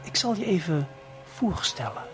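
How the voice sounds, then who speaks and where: A man's voice: high voice, big pitch swings, moderate pace, over music. A man narrating as a guide in an audio play, talking casually and intimately to the listener in a coaxing, conversational way.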